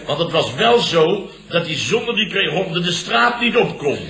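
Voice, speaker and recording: An actor playing a flustered, long-winded middle-aged boss who blusters and repeats himself in a radio comedy. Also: male voice, sits high, lively intonation, normal speed, a crowd in the background. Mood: indignant. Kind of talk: 1970s Dutch radio comedy acting. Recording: roomy old radio recording, studio audience.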